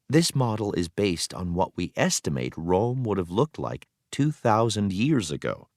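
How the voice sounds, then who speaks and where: A man reading a children's history text aloud as a teacher would, with expressive, clearly phrased delivery. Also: low voice, dry recording, studio quality.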